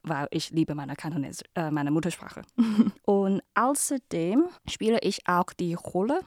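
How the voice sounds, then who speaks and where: Hesitant low-voiced woman from Hong Kong. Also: lively intonation, unhurried, darkish tone, dry recording, clean studio sound. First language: Cantonese. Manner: careful non-native speech with many hesitations. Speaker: interview guest, student ambassador. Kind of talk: podcast interview answers.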